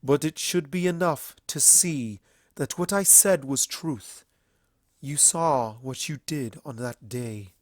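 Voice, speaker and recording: A man voicing a regal, earnest mare princess in a fantasy story reading, with solemn, emphatic and measured speech. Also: medium pitch, no echo, studio quality, pitched above the performer's own voice, close to an everyday voice. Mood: gentle, explaining.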